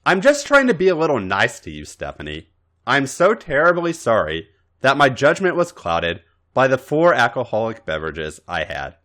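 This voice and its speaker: A young man, exasperated yet protective, acted by a male narrator. He chides and grumbles with very lively swings of pitch, as a smirking figure in an audio story. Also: normal speed. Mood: sarcastic. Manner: chiding, grumbling.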